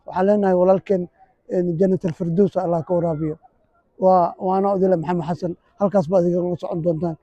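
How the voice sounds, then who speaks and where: A man reporting live from a community football match, filling pauses with a hesitant "in". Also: high-pitched, steady tone, no room sound, lo-fi sound. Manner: hesitant, repeats filler "in", fast. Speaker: sports reporter. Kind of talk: live pitchside commentary. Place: outdoor football pitch.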